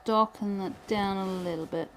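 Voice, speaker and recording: A chatty woman from Britain, thinking aloud through a live wood-burning lesson with plenty of ums and restarts. Her voice sits at an ordinary middle pitch and is getting slightly hoarse. A faint background hiss runs under it. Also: fairly even intonation, moderate pace. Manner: chatty, self-deprecating, thinks aloud with many fillers. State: voice going hoarse. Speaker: pyrography instructor. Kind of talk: live tutorial chat while working.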